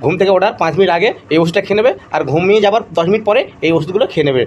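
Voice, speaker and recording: Man voicing a fast, high-pitched boastful quack doctor. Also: dull audio, background noise, natural voice. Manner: bragging, blustering. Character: boastful shady quack doctor, male, comically crooked. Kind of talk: comic cartoon dialogue.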